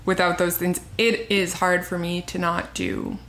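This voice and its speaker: A young woman co-hosting a podcast, chatting casually and filling her talk with little fillers. Her voice sits low with a steady vocal fry. The sound is roomy, with a clear echo. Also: unhurried. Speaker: podcast co-host. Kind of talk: casual chat.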